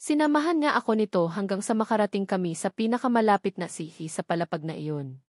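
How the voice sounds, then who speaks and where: A woman's voice: medium pitch, lively intonation, fast, darkish tone, no room sound, clean studio sound. A woman reading a first-person novel as scripted, dramatic story narration.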